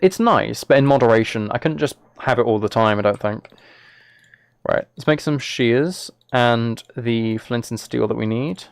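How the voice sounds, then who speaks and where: Male streamer, mid-pitched, casual and chatty, talking to viewers while playing, very dry recording. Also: normal speed, vocal fry, clear, resonant tone, no echo. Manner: chatty, thinking aloud as he plays. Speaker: live streamer. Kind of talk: casual live game stream chat, replying to viewers.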